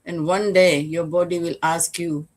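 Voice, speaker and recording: A woman's voice: fairly low pitch, little pitch movement, unhurried, darkish tone. An older woman from Fiji hosting a live online talk show, speaking earnestly and reflectively, with rhetorical questions. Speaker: host.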